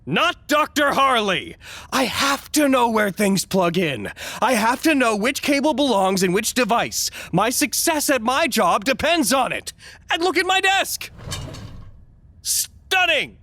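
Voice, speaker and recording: An actor playing a fussy, self-important, easily wounded doctor in an audio drama, with a pompous, theatrical delivery. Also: male voice, very high-pitched, sing-song, a little slow, pushed and bright, no echo, full character voice. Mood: boastful, exasperated. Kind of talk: dramatic monologue, audio log.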